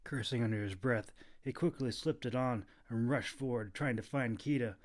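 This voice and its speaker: A man's voice: medium pitch, normal speed. Man dramatically narrating a fantasy audiobook. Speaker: author narrating his own audiobook.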